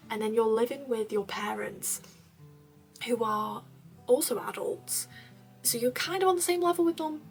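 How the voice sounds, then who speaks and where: A young woman from North Wales answering viewer questions in a casual chat, rambling a little with fillers and soft laughs. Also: medium pitch. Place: bedroom at her parents' home.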